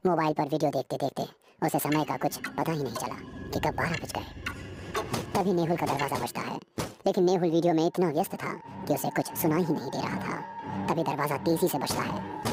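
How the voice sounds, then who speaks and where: A woman reading a scripted horror story as its narrator. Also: low-pitched.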